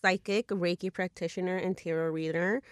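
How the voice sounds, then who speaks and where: A woman's voice: on the low side, fairly even intonation, normal speed, clear, resonant tone, no room sound, studio quality. Hesitant woman co-host. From United States. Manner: hesitant with "um" starts, self-conscious. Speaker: podcast co-host and tarot reader. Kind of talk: casual podcast chat.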